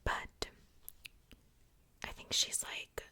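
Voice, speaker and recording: A woman whispering softly in a casual, chatty ASMR style, rambling along with conversational asides. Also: extremely slow. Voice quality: soft unvoiced whisper. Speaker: ASMR video host showing a doll collection.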